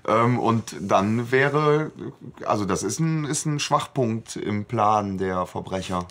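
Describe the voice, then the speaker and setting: Lively man with swooping intonation. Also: on the low side, slow. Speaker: podcast co-host. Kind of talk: casual chat.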